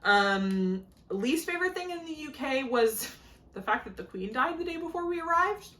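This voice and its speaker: A young woman hosting a knitting video podcast, chatting casually with lots of fillers, her voice a little hoarse. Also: sits high, expressive, moderate pace, fairly bright tone. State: slightly hoarse, losing her voice. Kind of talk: casual chat to camera. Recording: echoey room.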